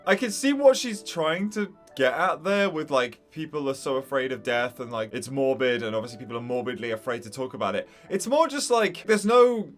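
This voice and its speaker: A woman's voice: a bass voice, expressive, a little fast, hardly any pauses, soft background music. A woman hosting a game playthrough, chatting casually and reacting as she goes, sometimes reading text aloud. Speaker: gaming video host.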